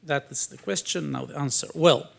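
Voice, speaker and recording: A young man with an Argentinian accent reads prepared questions and answers aloud at a fairly high pitch. He hesitates and restarts now and then. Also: moderate pace, vocal fry. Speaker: history graduate reading questions.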